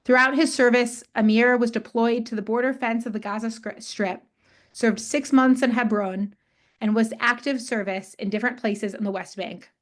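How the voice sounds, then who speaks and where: A woman hosting a webinar on a video call, reading a measured, prepared introduction. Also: fairly high pitch. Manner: measured, reads from prepared text. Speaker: webinar host.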